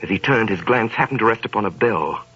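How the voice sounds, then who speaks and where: A man narrates a radio drama in a measured, storytelling voice that sits fairly low. Clear music plays behind him. The sound is the dull tone of a 1930s radio broadcast. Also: lively intonation, fairly bright tone.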